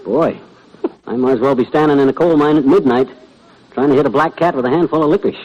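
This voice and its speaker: An actor playing a cocky, bragging husband in 1940s radio comedy, wisecracking and teasing. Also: male voice, medium pitch, fast talker, muffled recording, crowd noise, lightly acted. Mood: smug. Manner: boastful, wisecracking, teasing nicknames. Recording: noisy old radio recording before studio audience.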